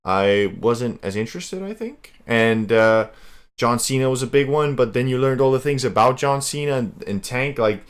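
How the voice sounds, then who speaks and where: A man's voice: mid-range voice, expressive, normal speed. A young man from Latvia streaming casually, rambling in a laid-back way and calling everyone "bro".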